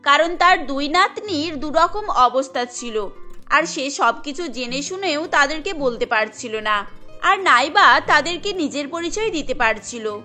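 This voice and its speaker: High, bright woman narrating a story. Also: expressive, measured pace, soft background music. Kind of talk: scripted cartoon story narration.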